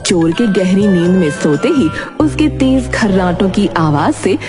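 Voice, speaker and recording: A woman's voice: mid-range voice, animated, a little slow. Woman narrating a children's story over music. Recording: loud music behind.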